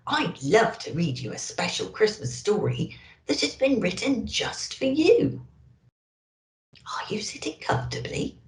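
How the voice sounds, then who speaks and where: Slow, expressive woman storyteller, echoey room. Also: on the high side. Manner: expressive, deliberate. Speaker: storyteller in character as a Christmas elf. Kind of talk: children's storybook reading and festive address.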